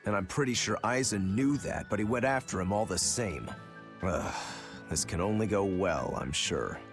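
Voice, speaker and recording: A man's voice: on the low side, moderate pace, over music, natural voice. An actor playing a world-weary, sardonic man in an English game dub, dry and grumbling. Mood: sardonic, wary.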